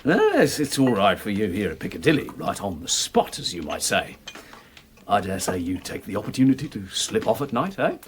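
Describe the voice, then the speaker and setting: An actor playing a gossipy working-class manservant in a radio drama, complaining colloquially over drinks. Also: male voice, fairly low pitch, wildly swooping pitch, normal speed, background noise. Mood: chatty, probing.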